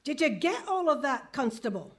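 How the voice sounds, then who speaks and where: A woman's voice: high-pitched, big pitch swings, moderate pace. A woman playing a bossy, exasperated Scottish police superintendent in a comic radio play before a live audience.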